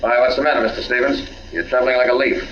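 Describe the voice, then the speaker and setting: A man's voice: medium pitch, steady tone, fast talker, fairly bright tone, highs cut off, close to an everyday voice. A man playing a sly police captain who questions in a folksy, needling, falsely casual way, in 1940s radio mystery style. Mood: pressing, insinuating. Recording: echoey, hissy old radio recording.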